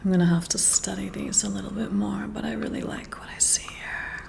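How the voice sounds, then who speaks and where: A woman playing a flirty, intrigued boss in an ASMR roleplay, whispering slowly and soothingly right up close to the mic. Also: mid-range voice, fairly even intonation. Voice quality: breathy whisper with creaky edges. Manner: slow, deliberate, soothing, intimate. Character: flirty, intrigued female boss giving a personality test. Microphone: close to the mic, whispering into the ear.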